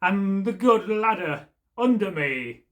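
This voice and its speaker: A man reading aloud, voicing a young boy who stubbornly answers a stranger with slow, defiant replies. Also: high voice, expressive, fairly bright tone, heavily acted.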